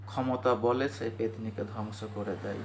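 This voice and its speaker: A man's voice: lowish voice, steady tone, normal speed. A man narrating a scripted cartoon ghost story in a storyteller's style. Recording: clear background noise and ambience.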